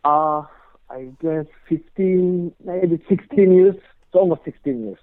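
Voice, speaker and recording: A man talks through a narrow, tinny phone line. His voice sits a bit high, and he rambles casually, leaning on filler words. The sound is dry, with no room around it. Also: slow pace, no echo. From Turkey. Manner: rambling, heavy on "you know" and "like" fillers. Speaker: guest guitarist. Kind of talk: casual interview chat.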